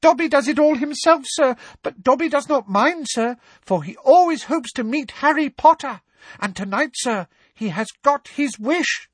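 A man voicing a small, eager male house elf with a squeaky, high, deferential voice. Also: expressive, normal speed, no echo.

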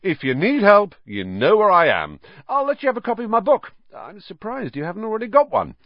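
Man doing a preening, pompous teacher, loud and breezy, for a storybook reading. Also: high-pitched, wildly swooping pitch, fairly quick, no echo, highs cut off, pitched above the performer's own voice, exaggerated voice. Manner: pompous, loud, self-satisfied. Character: vain, pompous male teacher.

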